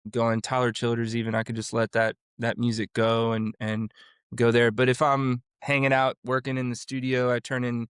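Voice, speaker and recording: A man in his forties from Florida, speaking as a guest on an interview show. His voice is smooth and resonant at a middle pitch. He rambles and restarts as he tells his story, on a dry, close mic. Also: completely flat delivery, normal speed, a bit bright, dry recording. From Florida, USA. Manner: rambling, with many restarts and fillers. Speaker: vocal coach and music producer guest. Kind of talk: casual interview conversation.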